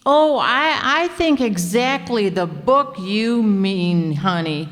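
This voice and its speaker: Lady doing a ditzy guileless chatty woman, radio comedy, slow talker, echoey hall. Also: mid-range voice, animated. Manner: unhurried, guileless storytelling. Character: scatterbrained, chatty, good-natured woman of the household. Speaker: actor in radio comedy play. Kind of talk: old-time radio comedy acting. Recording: echoey hall, live stage performance.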